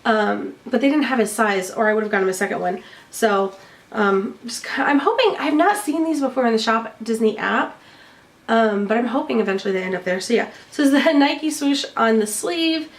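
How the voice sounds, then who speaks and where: A woman with a somewhat high voice talks casually to her viewers, cheerful and rambling with plenty of ums. Her nose is stuffy from a recent bronchitis. She sits a little back from the microphone. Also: animated, normal speed, distant mic. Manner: chatty, lots of ums, cheerful. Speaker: vlogger showing a shopping haul. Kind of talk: casual chat to camera.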